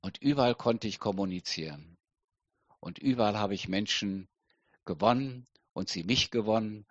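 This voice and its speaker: A middle-aged man preaching a sermon slowly and deliberately, with emphatic pauses. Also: lowish voice, dry recording, dull audio. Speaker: pastor.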